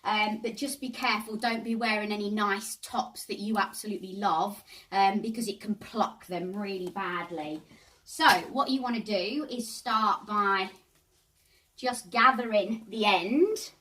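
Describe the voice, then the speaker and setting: A woman with a mid-pitched voice guides a craft tutorial in a casual, chatty way. She talks to herself as she works through each step. The microphone catches her from a little distance at times. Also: unhurried, fairly bright tone. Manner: chatty, self-talking while working.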